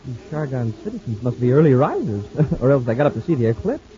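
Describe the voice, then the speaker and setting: An actor playing an eager young space cadet sidekick, chatty and joking, in a 1950s radio drama. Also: male voice, mid-range voice, sing-song, fast talker, warm tone, natural voice. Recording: muffled old radio recording with faint hiss.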